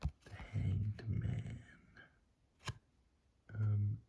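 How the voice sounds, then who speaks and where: A man speaking softly and casually in ASMR style, sometimes near a whisper, musing off the cuff as he reviews something. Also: on the low side, monotone, extremely slow, gravelly, lo-fi sound. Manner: hushed, sometimes dropping to a whisper; casual, laid-back musing. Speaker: tarot deck reviewer. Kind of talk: soft-spoken ASMR, impromptu commentary.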